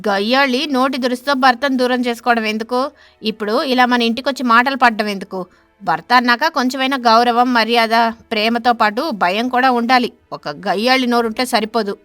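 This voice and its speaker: A woman acts out a stingy stork husband from an animated fable. He is stern and scolding, with a sharp tongue and a lecturing manner. The sound is close and dry, with music underneath. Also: on the high side, brisk, dry recording, close to an everyday voice. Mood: harsh, scornful.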